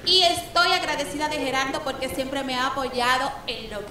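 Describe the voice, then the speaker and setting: A woman's voice: high voice, fairly even intonation, a little fast, reverberant, very noisy. A young adult woman, an announcer and TV presenter, introducing herself on a show. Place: indoor restaurant by an aquarium. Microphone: handheld microphone.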